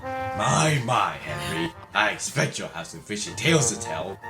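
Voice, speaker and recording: A man's voice: sits low, sing-song, measured pace, heavily acted. A man playing a smug, mocking dockside crane in a fan-dubbed cartoon, sardonic and condescending. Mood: smug, teasing. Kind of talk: fan-dub cartoon acting. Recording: echoey, music underneath.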